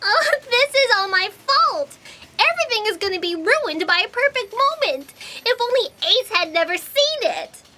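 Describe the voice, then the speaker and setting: A woman's voice: very high voice, very animated, moderate pace, background noise. A woman voicing a fretful, self-blaming, childlike fact-checker with a whiny, distressed manner. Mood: despairing.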